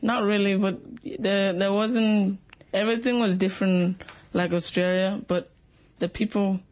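A man speaking as an interview guest in halting, simple phrasing, leaning on "like" and "yeah". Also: high voice, fairly even intonation.

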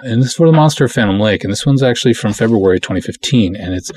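A man hosting a podcast solo in a casual, rambling, self-deprecating way, chatting and reading things aloud with a husky voice. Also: lowish voice, lively intonation, very fast, darkish tone, a little hiss or hum. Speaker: podcast host.

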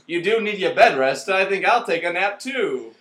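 A man's voice: high voice, expressive, a little slow, a bit bright. A man playing a cocky, eager vaudeville performer and husband in a mock old-time radio play, brash and hammy, recorded in an echoey room. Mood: excited, cocky.

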